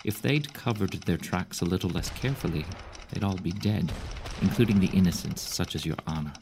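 Older general, very low pitch, shrewd, deliberate, authoritative, Roman-era audio drama, faint background noise. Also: male voice. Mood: shrewd, probing.

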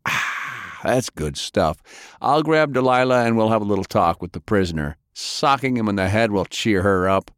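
A man's voice: fairly low pitch, expressive, normal speed, a bit bright, dry recording, studio quality. A man voicing a brash, hard-drinking adventurer who talks in a boisterous, swaggering way. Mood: breezy. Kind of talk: audiobook character voice.

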